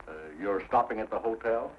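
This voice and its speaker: A man's voice: lowish voice, steady tone, brisk, close to an everyday voice. Man acting a formal frontier official, noisy soundtrack. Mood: effusive, hesitant. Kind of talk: 1950s television Western acting. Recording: dull, noisy 1950s TV soundtrack.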